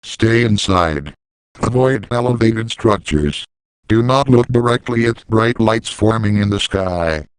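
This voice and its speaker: A man playing a calm, stern 1980s civil defense announcer, reading a formal emergency message in a measured, deadpan, official manner. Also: fairly low pitch, clean tone, no echo, natural voice, poor audio. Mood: grave, controlled.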